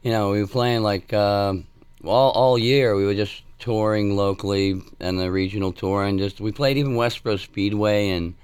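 A musician from Massachusetts, hoarse and raspy from laryngitis, talking in a relaxed, rambling way full of fillers during an interview. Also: male voice, on the low side, normal speed. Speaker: musician guest. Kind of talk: casual interview talk.

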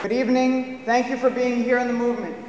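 A man's voice: very high voice, flat delivery, fast, a crowd in the background, noisy background. A man gives an impassioned, rousing public speech as a community activist at a hearing. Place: large public meeting hall. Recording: echoey hall from a distance.

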